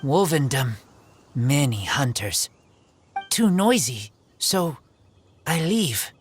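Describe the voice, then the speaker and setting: Very slow, halting wolf boy. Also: fairly high pitch, sing-song, slight background noise. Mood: guarded. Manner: halting, broken short phrases. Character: shy feral wolf boy, earnest, halting simple speech. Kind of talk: video game voice acting.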